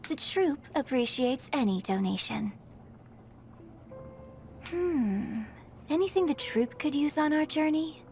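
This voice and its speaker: An actress playing a polite, poised young stage performer in a video game, speaking in a courteous, measured way. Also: female voice, sits high, expressive, a little mellow, soft background music, heavily acted. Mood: gracious.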